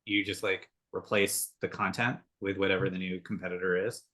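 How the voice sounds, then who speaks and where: A man's voice: deep voice, little pitch movement, brisk, bright, forceful tone. A man on a work video call, curious and often restarting his questions. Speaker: colleague asking questions. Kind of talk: casual work meeting.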